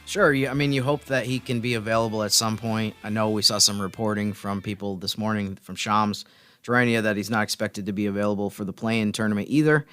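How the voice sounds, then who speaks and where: A man's voice: on the low side, fairly even intonation, fairly quick, fairly bright tone, smooth, soft background music. A man co-hosting a podcast, talking sports in a casual, conversational way. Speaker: podcast co-host, sports reporter.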